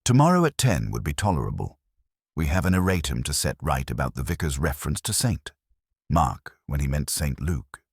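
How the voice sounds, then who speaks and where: A man's voice: a bass voice, big pitch swings, moderate pace, gravelly, breathy edge, dry recording, clean studio sound, full character voice. A man voicing a prim, exacting woman who keeps parish records, precise, formal and grudging, in a mystery story.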